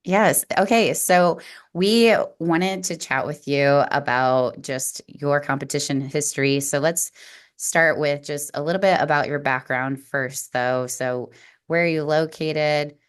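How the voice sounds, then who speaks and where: A woman hosting a podcast, upbeat and brisk, asking questions and dropping in quick acknowledgments. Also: low-pitched, clear, resonant tone. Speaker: podcast host. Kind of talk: podcast interview.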